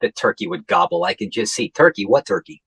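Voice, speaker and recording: An actor playing a grumpy, sleepy husband stuck in a hospital bed, complaining and hollering in a comic radio play. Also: male voice, lowish voice, lively intonation, a little fast, bright, forceful tone, breathy edge, dry recording, full character voice. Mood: grumbling.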